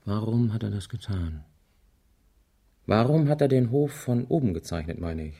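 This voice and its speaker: Wry laid-back guy in a radio crime drama, dark relaxed voice, thinks out loud drily, very methodical. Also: on the low side, gravelly, husky, heavily acted. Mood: pondering. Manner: deliberate, dry, thinking aloud. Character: wry, easygoing man turned methodical amateur analyst.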